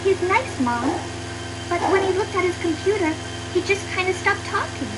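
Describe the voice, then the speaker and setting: A performer playing an innocent, soft-hearted little girl in a dramatic video game cutscene. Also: very high-pitched, animated, moderate pace. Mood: innocent, confiding. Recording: loud engine-like hum behind voice.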